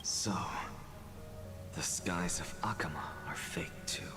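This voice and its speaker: A man's voice: sits low, takes it slowly, in a whisper. A male voice actor playing a brilliant, ambitious young student in a video game, questioning and defiant with theatrical intensity. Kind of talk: scripted game voice acting. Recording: long reverb under loud game music.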